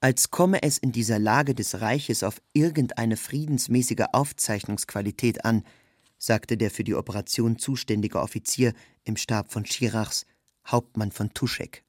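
Male narrator for literary prose: slightly husky mid-range voice, measured and formal, recorded very dry. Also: medium pitch, darkish tone, no echo. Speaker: narrator, reader.

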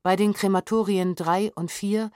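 A woman reading historical documents and testimony from a script, in a measured, sober, precisely articulated documentary style. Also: medium pitch, steady tone, a little mellow, no echo, studio quality.

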